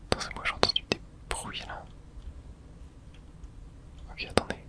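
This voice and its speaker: A gamer gives ASMR let's play commentary entirely in a breathy whisper. The sound is bright and airy, with no real voicing. The delivery is informal, muttered reactions to the game with the odd mild swear word. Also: normal speed. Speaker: gamer streaming playthrough for viewers.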